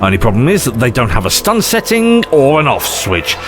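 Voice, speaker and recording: Man playing an animated, rambling eccentric adventurer. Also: mid-range voice, a little fast, over music. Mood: excited, rambling. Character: eccentric, excitable, rambling male time-travelling adventurer. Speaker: lead actor in an audio drama. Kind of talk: audio drama acting.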